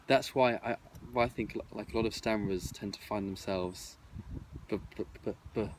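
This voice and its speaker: A man's voice: on the low side, fairly even intonation, normal speed, noisy background. A man with a stammer, speaking frankly and wryly as a podcast co-host. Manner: frank and wry, occasional stutter repeats. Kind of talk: casual discussion.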